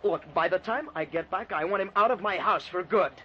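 High-voiced, stammering, blustering husband. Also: male voice, fairly quick, bright, forceful tone. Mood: angry. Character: nervous, stranded jealous husband, blustering and stubborn. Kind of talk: radio play acting. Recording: dull lo-fi radio recording, background hiss.

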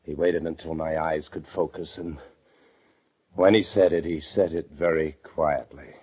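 An actor playing a paranoid fugitive husband, narrating an old-time radio thriller with intense dread. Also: male voice, a bass voice, steady tone, unhurried, husky, full character voice. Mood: grim. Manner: intense, breathless dread, swinging from brooding to frantic shouts. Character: desperate, paranoid fugitive husband confined in a locked room. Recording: dull old radio recording with music.

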